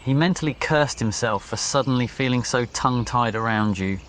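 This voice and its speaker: A man's voice: medium pitch, normal speed, fairly bright tone, slight background noise. A man reading a scripted ASMR story as its narrator. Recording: nature ambience behind voice. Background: crickets, birds and insects.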